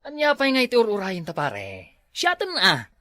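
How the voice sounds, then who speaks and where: A bright, wildly swooping male voice from an actor as a teasing village pal. He sounds nosy and has an Ilocano accent, in comedy drama style. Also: sits high, wildly swooping pitch, normal speed, no room sound, full character voice.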